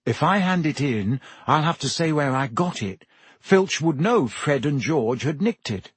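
An earnest, defiant schoolboy hero from a storybook , voiced by a man. He explains himself quickly in a youthful, slightly husky tone, recorded dry. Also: a little high, lively intonation, no echo, full character voice. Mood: earnest explaining.

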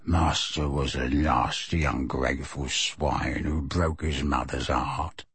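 A man voicing a bitter, resentful old male house-elf servant who mutters insults in a low undertone. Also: steady tone, slow pace, fairly bright tone, husky, no room sound, full character voice. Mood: muttering bitterly.

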